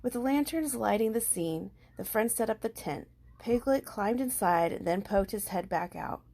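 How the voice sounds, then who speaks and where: A woman's voice: medium pitch, animated, normal speed, darkish tone. Woman reading a children's storybook aloud. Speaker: amateur storybook reader.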